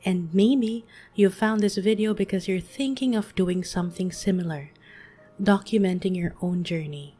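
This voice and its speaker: A woman in her late thirties, speaking gently and soothingly in a reflective monologue as a podcast host. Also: mid-range voice, moderate pace, slightly rough. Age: 30s. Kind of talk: soft-spoken reflective monologue.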